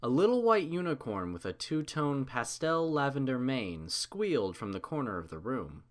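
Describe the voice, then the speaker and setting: A man's voice: medium pitch, very animated, normal speed. A man reading a story aloud as narrator, in a scripted storybook style.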